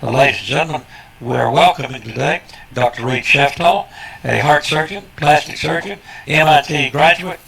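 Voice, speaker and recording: A man's voice: mid-range voice, vocal fry, fairly bright tone, low-quality recording. A man hosting an interview programme, speaking in a formal, emphatic, preacherly way with addresses to his listeners. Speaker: host interviewer. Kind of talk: broadcast interview with formal introductions.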